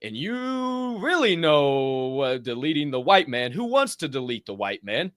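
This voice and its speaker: A man from the United States co-hosting a live podcast, loud and profane, laughing often and swinging between shouting and low mumbles. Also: very animated, a little slow, dry recording, studio quality. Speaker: podcast co-host. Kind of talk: casual live podcast chat.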